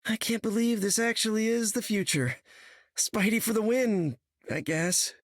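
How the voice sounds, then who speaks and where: An actor playing an eager, wisecracking teenage superhero in a game, boyish and jokey. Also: male voice, sits high, animated, moderate pace, no echo, clean studio sound. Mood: uneasy.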